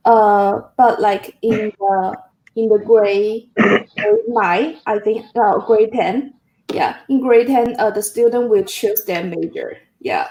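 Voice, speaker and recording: Slow, hesitant woman. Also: fairly high pitch, mellow, echoey room, rough recording. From Hong Kong. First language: Chinese. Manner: hesitant, searching for words, many "like"s. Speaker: guest translator.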